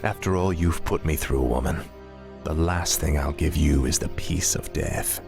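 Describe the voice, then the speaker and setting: An actor playing a cold, arrogant fallen prince turned undead death knight, menacing and formal, in a fantasy game cutscene. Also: male voice, a bass voice, a little slow, gravelly, over music, exaggerated voice. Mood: cold, vengeful.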